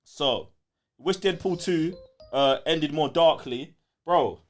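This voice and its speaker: A man's voice: fairly high pitch, slow pace, soft background music. A man with a London accent hosting a live podcast in casual, slangy banter. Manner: slangy, animated. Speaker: podcast host.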